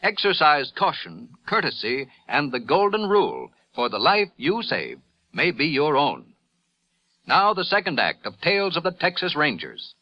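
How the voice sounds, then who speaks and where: Bright, emphatic American male radio announcer. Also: a little high, wildly swooping pitch, moderate pace. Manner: earnest, emphatic, formal. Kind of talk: scripted 1950s public service announcement. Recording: vintage 1950s radio broadcast recording.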